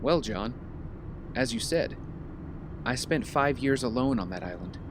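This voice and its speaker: A teasing young billionaire played by a man in an audio drama. He is glib and needling, with a lively, swinging intonation that keeps things playful. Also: mid-range voice, measured pace, a little hiss or hum, lightly acted. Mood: earnest, sly.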